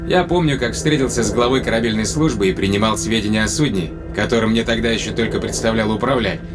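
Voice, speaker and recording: An actor playing a tender but probing spaceship captain in a Russian audio drama, speaking conversationally with deliberate pauses. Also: male voice, on the low side, music in the background, natural voice. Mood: gentle, coaxing. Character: male spaceship captain, long-lived, tenderly probing his companion.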